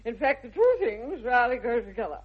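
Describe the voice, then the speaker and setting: Imperious, husky English aristocratic aunt. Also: female voice, a little high, sing-song, brisk. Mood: haughty, gracious. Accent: upper-class English. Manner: haughty, grandly emphatic, declamatory. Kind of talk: 1960s British radio comedy acting. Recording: slightly dull vintage radio recording.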